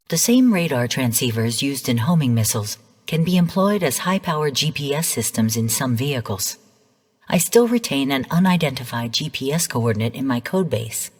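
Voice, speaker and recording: A woman voicing a calm, calculating artificial intelligence in a video game, speaking formally and with measured, cool command. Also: a bass voice, husky, long reverb tail, heavily acted. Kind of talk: scripted video game voice lines.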